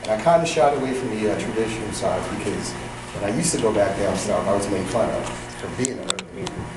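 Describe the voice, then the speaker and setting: A man from New York City introducing himself in a soft-spoken, hesitant way with fillers. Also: lowish voice, lively intonation, fast talker. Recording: echoey room, distant mic, noise.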